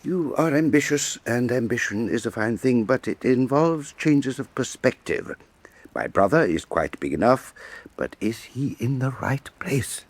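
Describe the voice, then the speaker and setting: An actor playing a vain, imperious Venetian statesman in a radio drama, suave and pompous, with silky menace and a husky voice. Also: medium pitch, lively intonation. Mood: veiled menace. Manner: suave, pompous, deliberate rhetorical repetition. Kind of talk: full-cast radio drama acting.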